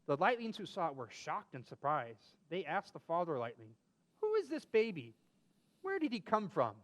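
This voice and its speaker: A man's voice: a little high, wildly swooping pitch, moderate pace, fairly bright tone. A man reading a children's story aloud expressively as a co-host, with playful asides.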